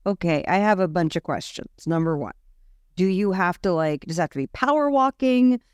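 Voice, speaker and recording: Smooth-voiced curious woman co-host. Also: fairly low pitch, lively intonation, brisk, a little mellow, no echo, studio quality. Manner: curious, chatty, lots of questions and fillers. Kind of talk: casual health talk.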